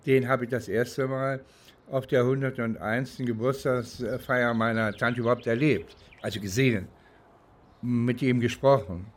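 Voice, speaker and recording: A middle-aged man reflecting as a private detective in an unscripted-sounding monologue, searching for words in a deliberate, hesitant way. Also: medium pitch, steady tone. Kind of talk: reflective documentary-style monologue.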